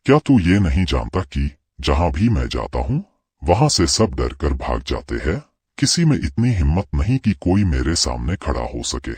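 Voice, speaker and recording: Man voicing an arrogant, deep, husky lion king. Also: sing-song, moderate pace, darkish tone, no echo, exaggerated voice. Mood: haughty, threatening. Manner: boastful, bullying, challenging. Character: arrogant, menacing male lion, king of jungle.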